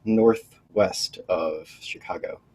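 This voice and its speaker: Young man from Illinois, podcast guest, rather low voice, rambling, lots of fillers. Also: slow pace, a little mellow, slightly rough, low-quality recording. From northern Illinois. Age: young adult. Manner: rambling, many fillers and restarts. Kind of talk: casual chat.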